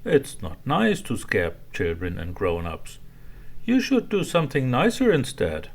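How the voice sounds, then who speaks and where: A man voicing a curious, kindly young hippo in a children's story, earnest and gently questioning. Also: medium pitch, huge pitch swings, measured pace, pitched above the performer's own voice, exaggerated voice.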